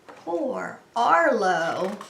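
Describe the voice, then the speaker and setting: A woman hosting preschool story time, reading a storybook with dramatic, drawn-out emphasis for small children. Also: mid-range voice, very animated, vocal fry, background noise. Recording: roomy, echoing sound.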